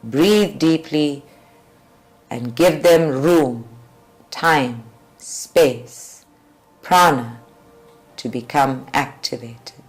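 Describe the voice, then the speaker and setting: A woman leads a guided group meditation with deliberate, measured phrasing and long pauses. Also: very deep voice, poor audio. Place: group meditation at a creativity centre. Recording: live recording with music behind. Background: faint music.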